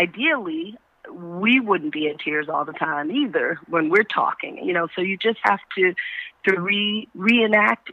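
A woman, a stage actor being interviewed, talking reflectively and searching for words in a measured way. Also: mid-range voice, dry recording. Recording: dull, band-limited call audio.

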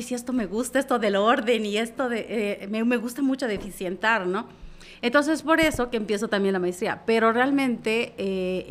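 A woman speaks as a podcast guest. She tells her stories in a lively, conversational way, acting out quoted dialogue and often ending phrases with a questioning tag. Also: fairly high pitch. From Mexico. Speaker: business consultant guest. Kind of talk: casual interview storytelling.

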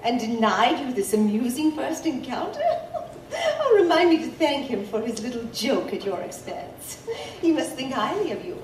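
A woman playing an ancient, youthful-looking vampire wise woman in an audio drama, playfully sly, with formal, teasing, measured speech. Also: high-pitched, big pitch swings. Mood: amused. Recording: roomy, distant, faint background noise.

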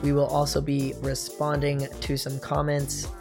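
A young American man hosting a podcast and interviewing in a casual way, his voice a little hoarse. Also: fairly high pitch, completely flat delivery, a little slow, over music. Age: young adult. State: slightly hoarse. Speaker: podcast and college radio host. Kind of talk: casual show presenting and interviewing.

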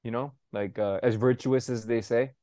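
A man's voice: medium pitch, steady tone, mellow, dry recording, clean studio sound. A young man in casual podcast chat, blunt and profane, ranting with lots of fillers. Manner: blunt, profane, fast-shifting rants. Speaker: podcast co-host.

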